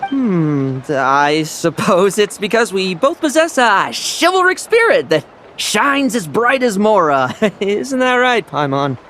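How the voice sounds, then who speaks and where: An actor playing a sly, cheerful young knight in a game, breezy and smooth-talking. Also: male voice, high-pitched, very animated, takes it slowly, bright, forceful tone, a little hiss or hum, full character voice.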